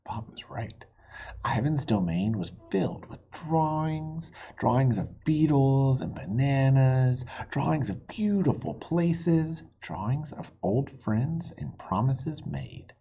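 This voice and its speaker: A storybook reader giving an expressive read-aloud for children, explaining things simply. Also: medium pitch, slow pace, muffled recording, slight background noise.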